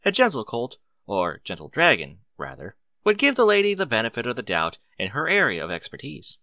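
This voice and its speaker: A man reading scripted story narration in an expressive storytelling manner. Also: medium pitch, fairly quick, dry recording, clean studio sound.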